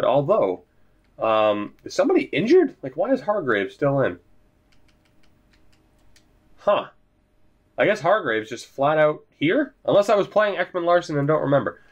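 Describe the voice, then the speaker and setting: A man's voice: a little high, sing-song, measured pace, long pauses, lo-fi sound. A young man giving casual, rambling commentary over a hockey video game, swearing often and joking at his own expense. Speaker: gaming YouTuber.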